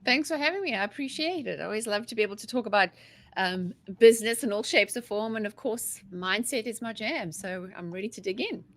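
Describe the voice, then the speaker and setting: A woman in her forties with a South African accent, a guest coach telling her story in a chatty, energetic way. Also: mid-range voice, brisk. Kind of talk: casual interview talk.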